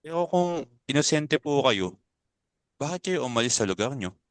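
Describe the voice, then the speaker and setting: A man plays a calm, polite figure in a dramatic reading. His voice is smooth and clear, recorded close and dry. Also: mid-range voice, moderate pace, no echo, natural voice. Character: calm, polite man urging against fear.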